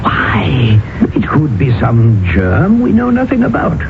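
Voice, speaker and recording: Radio drama casting: a concerned male friend and storyteller, medium pitch, extremely animated delivery, reflective, vintage thin radio sound. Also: slow, vocal fry, noisy background, heavily acted. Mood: calm, concerned. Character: concerned, reflective colonial-era friend narrating his tale. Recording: tinny old radio with loud music.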